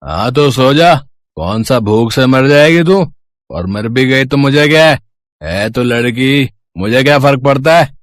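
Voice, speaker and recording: A man's voice: medium pitch, expressive, unhurried, no echo, natural voice. A man voicing a cruel drunken father. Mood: harsh. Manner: gruff, contemptuous.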